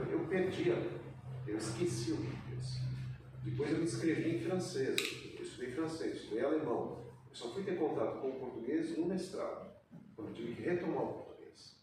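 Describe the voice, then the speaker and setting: A man from Brazil, a poet on a literary panel, telling a story in a measured, narrative way, recorded from a distance in an echoey room. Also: medium pitch, background noise.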